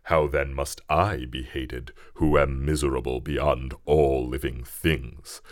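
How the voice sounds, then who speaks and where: A man's voice: extremely low pitch, steady tone, no room sound, clean studio sound, pitched below the performer's own voice. A man voicing a wretched vengeful created creature. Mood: grave, menacing. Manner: slow, grave, mournful and menacing. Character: wretched, vengeful male creature made by a scientist.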